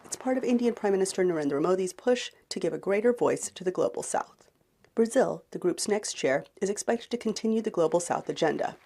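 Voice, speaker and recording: A woman correspondent delivering a measured news report. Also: medium pitch, lively intonation, dark tone.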